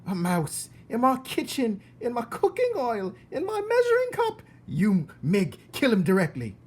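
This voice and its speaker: Man as a shouting, bossy kitchen cook woman. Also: extremely high pitch, huge pitch swings, measured pace, husky, voice pushed up, exaggerated voice. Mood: outraged. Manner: shouting, barking orders. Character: bossy, bad-tempered kitchen cook woman, loud and scornful.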